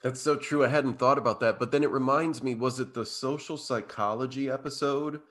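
Male podcast co-host, mid-pitched, a bit hoarse and stuffy, loose rambling chat. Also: steady tone, brisk, a bit bright. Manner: rambling, full of fillers and restarts. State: slightly hoarse and blocked up. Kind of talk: casual chat.

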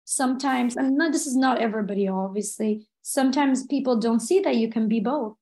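A young woman from Los Angeles, a guest speaking softly and reflectively in a casual interview, with many fillers. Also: on the high side, brisk. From Los Angeles, United States. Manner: soft-spoken in English.

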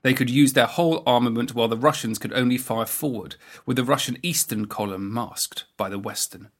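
Guy reading scripted history narration, medium pitch, measured and factual. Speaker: narrator of a naval history programme.

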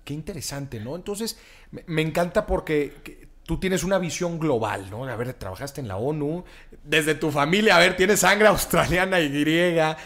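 A woman hosting an interview, lively and quick to affirm her guest. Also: low voice. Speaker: interview host. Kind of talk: conversational interview.

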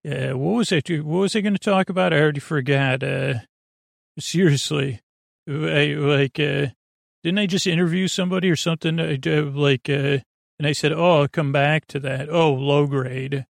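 A podcast host with a fairly high, very creaky male voice, recorded close and dry. He wanders through a bedtime monologue, piling up filler words and tangents. His manner is goofy and self-deprecating, never quite getting to the point. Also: fairly even intonation, normal speed, no echo. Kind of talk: rambling bedtime monologue to help listeners sleep.

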